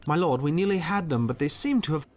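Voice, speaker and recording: An actor playing a nervous, deferential Imperial commander in a video game. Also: male voice, a little high, animated, quick pace, a little mellow, slight background noise, lightly acted. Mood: anxious. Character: anxious, deferential male Imperial field commander.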